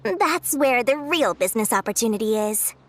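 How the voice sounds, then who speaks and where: A woman's voice: a little high, animated, moderate pace, noisy background, natural voice. An actress voicing a shrewd, cheeky young woman merchant in a video game, with glib, theatrical sales patter. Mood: confiding, serious.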